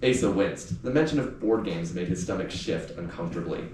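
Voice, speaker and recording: A man reading youth fiction aloud in an expressive, audiobook-like storytelling style. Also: deep voice, fairly quick. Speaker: author reading aloud from a novel. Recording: echoey room, distant mic, faint noise.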